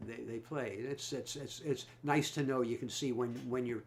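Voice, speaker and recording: A man's voice: mid-range voice, fairly even intonation, moderate pace. A man taking part in an online song circle, chatting casually and hesitantly, repeating words as he explains. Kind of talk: casual chat on a group video call. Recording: noisy video-call audio.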